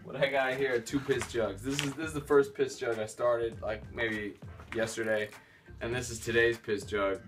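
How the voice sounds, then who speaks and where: A man's voice: mid-range voice, steady tone, moderate pace, a bit bright, soft background music. A man in his thirties chatting casually and sardonically as he shows people round a house, with mock-showy slang and swearing, recorded on a handheld camera indoors. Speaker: vlogger giving a house tour. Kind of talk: casual, joking house-tour chat. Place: small rooms of a rundown house.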